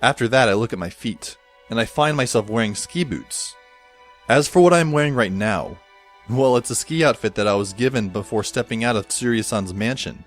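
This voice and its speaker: A man's voice: medium pitch, normal speed, soft background music, natural voice. A man playing a bewildered, wry teenage schoolboy who narrates an audio drama in a wordy, dramatic way. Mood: dazed, wry. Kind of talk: scripted first-person audio drama narration.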